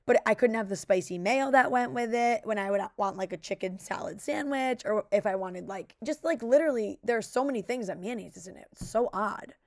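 Raspy young woman co-host; casual and chatty, recorded dry and close. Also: mid-range voice, brisk, few pauses, dry recording. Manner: chatty, many "like" fillers. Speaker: podcast co-host. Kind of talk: casual chat.

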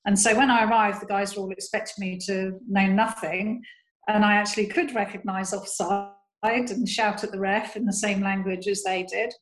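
A woman's voice: mid-range voice, steady tone, moderate pace. A woman tells light, amused stories about being a fan, laughing now and then between thoughts. Her voice sits in the middle range, and the room gives it a noticeable echo. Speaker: fan guest. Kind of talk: casual anecdote.

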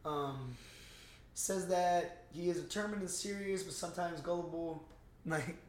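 A man's voice: fairly high pitch, unhurried, reverberant, slight background noise. A young man co-hosting a podcast, chatting casually about movies in an informal, slangy, rambling way. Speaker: podcast co-host.

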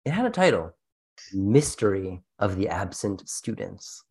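Animated man hosting, theatrical storyteller. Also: lowish voice, a little slow, gravelly. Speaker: podcast host. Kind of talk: playful scripted banter and storytelling.

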